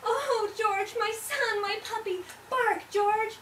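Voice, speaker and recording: A woman's voice: extremely high pitch, takes it slowly, a bit bright, pitched above the performer's own voice, full character voice. A woman voicing an exasperated, melodramatic mother dog who wails and pleads in a children's storybook read-aloud. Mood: pleading. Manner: dramatic wailing and pleading. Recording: roomy, distant mic, faint background noise.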